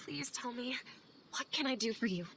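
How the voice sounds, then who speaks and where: A woman's voice: a little high, expressive, moderate pace, fairly bright tone. An actress voicing a weary, anxious female scholar in video game dialogue, earnest, hesitant and confessional in manner. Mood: earnest, troubled. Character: guilt-ridden female scholar, weary, anxious assistant to sage. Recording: game audio over clear ambient noise.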